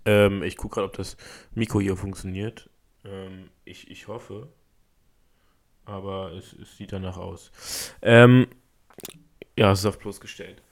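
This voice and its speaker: Slightly hoarse, excitable young man. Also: sits low, measured pace, pauses often. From Germany. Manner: excitable, rambling with many fillers and restarts. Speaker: podcast host. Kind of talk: casual solo podcast storytelling.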